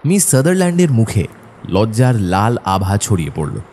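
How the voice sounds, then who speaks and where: An actor playing the narrator of a detective story, reading scripted dramatic narration over background ambience. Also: male voice, on the low side, sing-song, moderate pace, dark tone, a little hiss or hum. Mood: descriptive.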